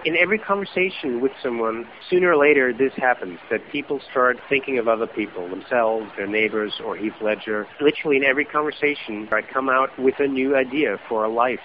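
A man's voice: mid-range voice, a little fast, few pauses, slight background noise. German-native man, author guest, reflective, dull lo-fi radio sound. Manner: reflective, thinks aloud with "you know" asides. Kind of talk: radio interview conversation.

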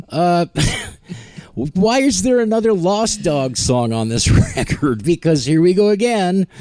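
A man's voice: on the high side, warm tone, no echo. A man hosting a music podcast, chatting casually and joking as he introduces songs. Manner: joking, rambling, self-mocking. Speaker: podcast host.

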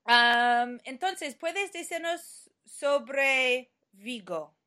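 A woman's voice: high voice, very slow, bright, forceful tone, clean tone. A woman from New Mexico interviewing a guest, hesitant and encouraging. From New Mexico, USA. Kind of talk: casual conversational interview.

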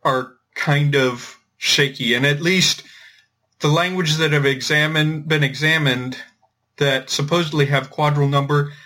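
A man explaining things informally as a podcast host, thinking aloud in a halting way with repeated words. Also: a little high, steady tone, bright, forceful tone. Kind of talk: informal explanatory discussion of linguistics.

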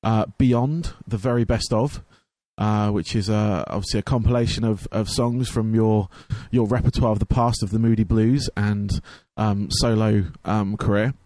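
A hoarse young man hosting his radio show and podcast, chatting casually with lots of ums and restarts. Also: lowish voice, fairly even intonation, normal speed, few pauses, darkish tone, no echo. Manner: rambling, many ums and restarts. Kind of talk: casual chat.

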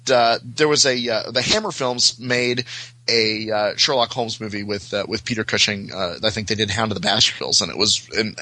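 A man chatting casually on a panel, rambling and halting as he digresses with enthusiasm. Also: on the low side, bright, forceful tone, no echo, low-quality recording. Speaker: guest panelist on a podcast.

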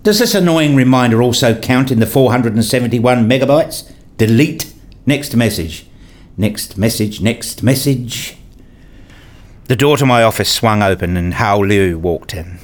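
A man's voice: medium pitch, expressive, a little slow, natural voice. An actor playing a weary, irritable academic who narrates his own day in a radio play, with a dry, sardonic manner. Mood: irritated, bored. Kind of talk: scripted first-person narration, radio play.

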